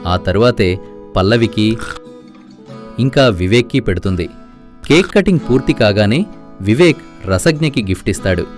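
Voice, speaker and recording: A man's voice: lowish voice, lively intonation, normal speed, long pauses, faint music behind. A man reading scripted storybook narration.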